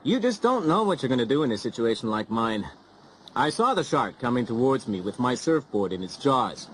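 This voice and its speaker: A man's voice: mid-range voice, very animated, normal speed, fairly bright tone, slight background noise, poor audio. A man playing a lifeguard recounting a shark attack. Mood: vivid. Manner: vivid storytelling. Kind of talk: scripted textbook monologue.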